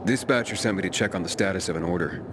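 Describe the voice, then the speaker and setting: An actor as a low-voiced, blunt young survivor. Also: male voice, fast, noisy background, natural voice. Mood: businesslike, insistent. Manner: casual, dry, direct. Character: blunt, persistent young male messenger and survivor. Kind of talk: video game dialogue acting. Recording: game music behind.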